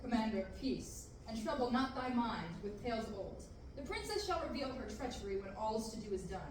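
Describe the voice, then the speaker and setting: Imposing male dark lord, a woman reading, commanding verse, fairly high voice, distant echoey room mic. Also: animated, a little fast, lightly acted. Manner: commanding, declaiming. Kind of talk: amateur table reading in Shakespearean verse. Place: large classroom. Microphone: far camera. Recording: echoey room from far off, background noise.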